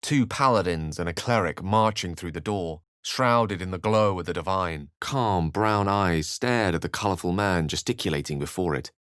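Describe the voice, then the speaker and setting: A man's voice: deep voice, moderate pace, few pauses, a bit bright, clean tone, no echo, studio quality. A man voicing a refined, witty wizard for a video game, speaking in an articulate, theatrical, wry way. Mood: grave storytelling. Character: eloquent, witty, refined human male wizard. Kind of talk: video game character voice lines and narration.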